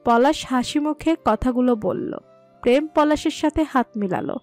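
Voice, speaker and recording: A woman narrating a romantic family story in a calm, even manner. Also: sits high, moderate pace. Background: soft music.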